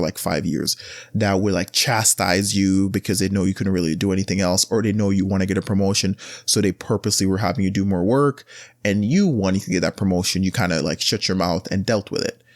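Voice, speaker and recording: A man holds forth on his solo podcast, his fairly low voice rambling through blunt advice. When he wants to stress a point, he jumps higher and louder. The sound is dry, with no room around it. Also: a little fast, no echo. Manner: rambling, with frequent restarts and filler words. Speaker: podcast host. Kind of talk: casual solo podcast monologue.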